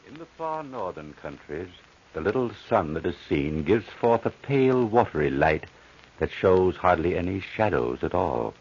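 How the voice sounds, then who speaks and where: A man acting as a grave, brooding narrator of an old radio horror drama, solemn and deliberate. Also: deep voice, big pitch swings, a little mellow, a little hiss or hum. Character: grave, brooding male storyteller of horror tales. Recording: dull old radio recording.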